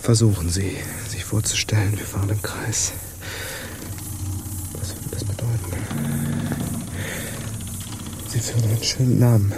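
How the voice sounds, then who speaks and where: An actor playing a feverish, eccentric pirate crewman in a radio drama, yearning and obsequious, speaking in a halting, ornate, confiding way. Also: fairly low pitch, steady tone, darkish tone, whispered, natural voice. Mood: feverish, hushed. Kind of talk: theatrical radio drama acting.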